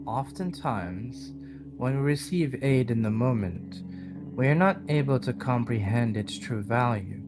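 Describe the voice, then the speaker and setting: A man's voice: mid-range voice, lively intonation, close to an everyday voice. Man playing a solemn, cryptic mysterious woman. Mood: cryptic, sympathetic. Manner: formal, measured, cryptic phrasing. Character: mysterious woman, solemn, cryptic, sympathetic bearer of fateful gifts. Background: guitar music.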